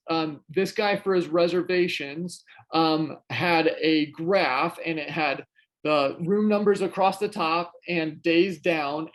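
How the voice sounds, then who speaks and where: This is a man with a fairly high speaking voice, talking as a guest on an investing podcast. He tells his story in a loose, chatty way, full of "um"s and "you know"s and restarting his sentences. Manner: chatty, many fillers and restarts. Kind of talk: casual conversational storytelling.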